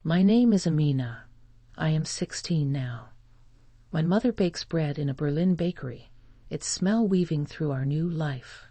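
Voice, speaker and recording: A narrator reads a scripted first-person story for language learners in a measured, careful way. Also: mid-range voice, animated, dark tone, no echo.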